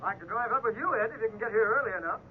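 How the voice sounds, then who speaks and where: An actor playing an eager, chummy American fishing buddy, breezy, heard through a telephone filter in a 1950s radio drama. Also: male voice, high-pitched, very fast, husky, phone-quality sound. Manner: breezy, colloquial. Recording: thin telephone sound on hissy old radio.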